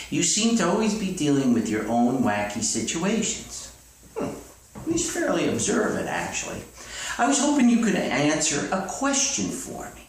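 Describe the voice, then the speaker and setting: A man hosting a rambling comedic mailbag podcast, reading letters in a folksy, halting way with frequent digressions. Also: fairly high pitch. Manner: folksy, halting, repeats himself, digresses often. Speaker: podcast host and author reading fan mail. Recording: roomy echo, distant mic, faint noise.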